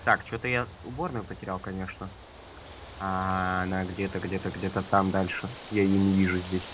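A man's voice: deep voice, level tone, moderate pace, noisy background. A slightly ill man chatting casually with teammates during a group gaming session.

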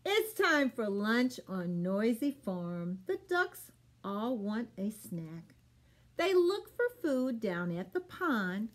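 Woman, high voice, very unhurried, expressive children's storytime reader, middle-aged. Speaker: children's storytime host at a public library. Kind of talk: read-aloud storytime for young children. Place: small plain room, indoors.

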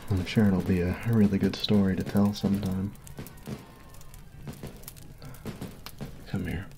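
A man's voice: low voice, level tone, a little fast, warm tone. A man voicing a sweet, goofy college jock in an ASMR roleplay, earnest and flustered, close on a binaural mic. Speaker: voice actor.

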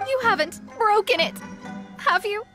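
A woman's voice: extremely high pitch, animated, a little slow, over music. A woman voicing a haughty, formal young female astrologist in a video game, with pompous, precise diction. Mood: alarmed. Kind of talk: video game dub acting.

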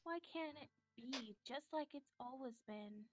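A woman voicing a sad, self-blaming, fragile teenage anime girl in a visual novel, with halting emotional delivery. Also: high voice, over music. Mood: sad, guilty. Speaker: amateur reader of a visual novel character. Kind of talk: dramatic visual novel reading.

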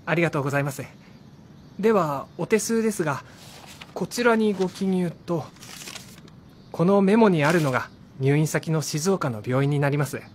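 A man's voice: fairly high pitch, lively intonation, moderate pace, slight background noise, natural voice. Formal, courteous policeman played by an actor. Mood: businesslike. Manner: formal, polite, reassuring. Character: courteous, businesslike police officer on a visit. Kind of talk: Japanese radio drama acting.

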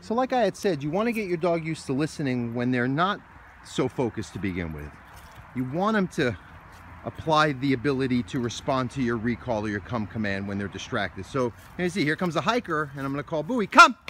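A man's voice: mid-range voice, very animated, a little fast, pushed and bright, a little hiss or hum. A man with an American accent, a dog trainer explaining obedience steps while walking his dog on a hiking trail and calling commands to it. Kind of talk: outdoor training demonstration.

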